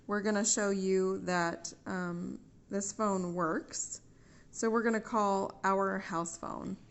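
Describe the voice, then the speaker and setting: A woman's voice: medium pitch, fairly even intonation, slow pace, slight background noise. A woman with an American accent giving a casual, patient, step-by-step how-to at home. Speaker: family member giving a phone tutorial. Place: indoors at home.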